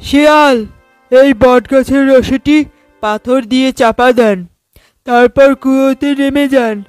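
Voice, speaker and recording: A man's voice: extremely high pitch, slow, clean tone, no echo, faint music behind. A man voicing a tiny, clever, politely helpful trickster mouse in a children's cartoon. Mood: advising, sly. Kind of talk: children's cartoon fable acting.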